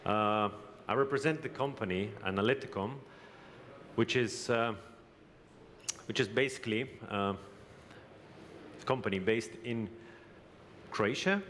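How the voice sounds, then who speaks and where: A man from Lithuania gives a conference presentation, speaking haltingly with frequent hesitations and restarts. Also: on the low side, fairly bright tone. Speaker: company representative presenting. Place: exhibition hall. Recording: reverberant hall, faint background noise.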